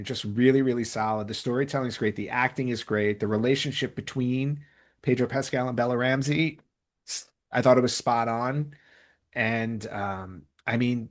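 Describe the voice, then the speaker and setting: A man talking casually on a podcast, sharing opinions emphatically and often restarting his phrases. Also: mid-range voice. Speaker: podcast speaker.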